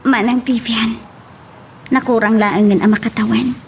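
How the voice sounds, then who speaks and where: A woman's voice: a little high, fairly even intonation, moderate pace, a little mellow, faint music behind, natural voice. A woman playing a homesick, anxious young wife in an Ilocano audio drama, with an Ilocano accent. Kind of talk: Ilocano radio-style drama acting. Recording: noisy ambience behind the voice.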